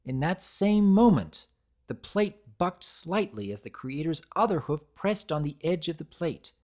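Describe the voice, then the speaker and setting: A storyteller delivers a scripted dramatic reading with deliberate expression. The pitch moves widely and freely, giving the narration a very animated feel.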